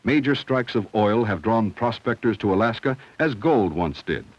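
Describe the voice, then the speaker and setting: Low-pitched male narrator, vintage school film. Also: animated, dry recording. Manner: measured, instructional, clearly enunciated. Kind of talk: scripted documentary narration, 1970 school film. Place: studio voice-over. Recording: dull, dry vintage film soundtrack.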